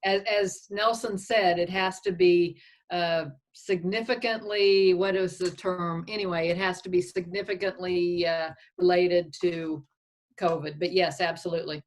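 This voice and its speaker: A woman's voice: on the low side, steady tone, measured pace. A woman speaking conversationally on a conference call as a county official, thinking aloud as she gives advice. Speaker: county official advising on expense reimbursement.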